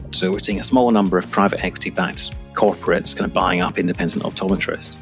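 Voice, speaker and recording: A middle-aged man with a Scottish accent and a very low voice full of creak. He speaks conversationally, as a business executive being interviewed. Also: a bit bright. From Edinburgh, Scotland. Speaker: retail executive, interview guest.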